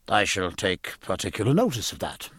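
Learned gentleman landsman performed by a male narrator, formal, curious, precise, animated pitch with a slightly husky grain. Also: normal speed, no room sound, studio quality, exaggerated voice. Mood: courteous, curious. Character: inquisitive, learned gentleman, a puzzled landsman aboard ship. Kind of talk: audiobook character acting.

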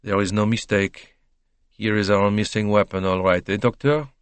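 A man voicing a fussy, courteous Belgian detective in an audiobook, thoughtful, formal and measured. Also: on the low side, steady tone, clean tone, no echo, natural voice.

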